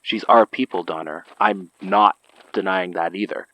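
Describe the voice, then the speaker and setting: A man's voice: sits low, normal speed, sharp tone, poor audio. A man playing a wary, level-headed detective in a horror audio drama, speaking in a blunt, understated way. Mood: hesitant.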